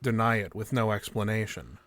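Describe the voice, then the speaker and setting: A male actor as a probing alien inquisitor from a radio play, speaking for a collective. The voice is low and very dry, with a formal, clinical delivery that presses relentlessly. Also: fairly quick, a bit bright, no room sound, natural voice. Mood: cold, insistent. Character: cold, probing alien interrogator speaking as a collective, increasingly belligerent. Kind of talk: audio drama acting.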